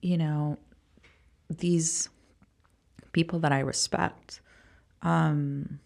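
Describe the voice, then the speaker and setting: Low-pitched, creaky-voiced woman from Costa Rica. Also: steady tone, a little mellow. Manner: informal, halting, emphatic with repeated words. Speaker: podcast guest, venture fund founder. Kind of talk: casual interview conversation.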